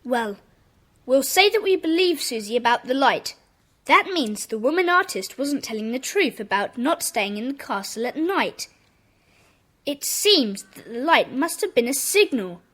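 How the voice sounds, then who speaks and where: A woman playing a brisk schoolboy who leads a child detective club in an audio drama. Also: high-pitched, big pitch swings, a bit bright, exaggerated voice. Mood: decisive.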